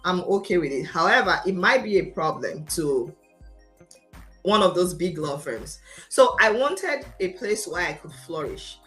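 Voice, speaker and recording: Passionate Nigerian woman, lower-pitched voice. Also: lively intonation, measured pace, a bit bright, faint music behind. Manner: passionate, emphatic, confident. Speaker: interview guest, lawyer. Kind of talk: personal storytelling in an interview. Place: remote video call.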